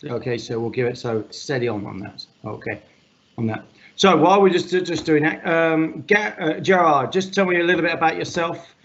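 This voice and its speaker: Man, meetup host, loud projecting voice, rambling with fillers, slightly high, muffled sound. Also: moderate pace, a little hiss or hum. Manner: rambling, filler-heavy, joking. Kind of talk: casual livestream meetup hosting.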